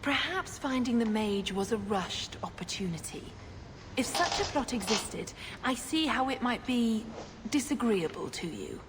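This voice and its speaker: An actress playing a scheming, evasive religious sister in a video game, speaking formally with cool condescension. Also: female voice, fairly high pitch, lively intonation, a little slow, background noise.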